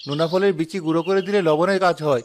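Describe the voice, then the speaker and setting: A man's voice: on the high side, fairly even intonation, quick pace, lightly acted. A man playing a poor, earnest young father and cook in a cartoon drama, anxious but hopeful. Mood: musing. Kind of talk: Bengali cartoon drama voice acting.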